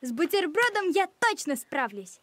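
A woman's voice: very high voice, sing-song, normal speed, noisy background. A woman voicing an eager, cheerful, determined little girl in a children's cartoon. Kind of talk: animated children's cartoon acting.

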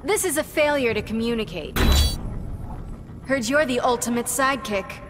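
A woman's voice: on the high side, normal speed, heavy background noise, close to an everyday voice. A woman playing a plucky young superheroine in a video game, confident, flippant and defiant. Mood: defiant, sassy. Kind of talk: video game character acting.

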